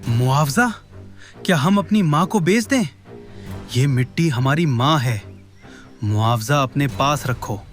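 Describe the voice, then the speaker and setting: A man's voice: medium pitch, animated, takes it slowly, pauses often, soft background music. A man voicing a defiant young villager in a cartoon story, urgent and impassioned. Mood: defiant, outraged. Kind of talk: animated moral story dialogue.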